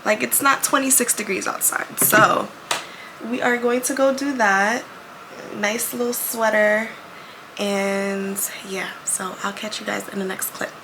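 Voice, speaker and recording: A young woman with a raspy voice, chatting casually to her camera while vlogging, thinking aloud and poking fun at herself. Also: mid-range voice, fairly even intonation, a little slow. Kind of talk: casual vlog talk to camera. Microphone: handheld pocket camera mic. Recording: noisy handheld camera audio.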